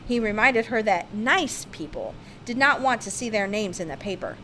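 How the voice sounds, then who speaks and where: A woman's voice: medium pitch, expressive, normal speed, pushed and bright, a little hiss or hum, low-quality recording. A woman reads a children's picture-book biography aloud with theatrical, emphatic expression. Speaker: storybook reader.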